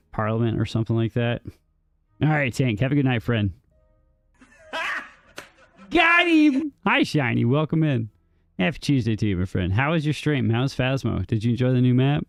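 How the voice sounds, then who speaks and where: A man's voice: mid-range voice, clear, resonant tone, no room sound. A man chatting casually with his viewers during a live game stream, rambling with fillers and restarts. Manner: rambling, answers chat with many ums and restarts. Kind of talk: casual chat with viewers while playing.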